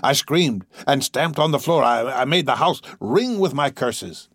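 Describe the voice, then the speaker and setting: A man voicing a lonely, excitable little old man in an audiobook, with a thin, shaky, halting delivery. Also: medium pitch, no room sound, studio quality, pitched above the performer's own voice. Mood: agitated, grieving. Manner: halting, stammering, repeating words.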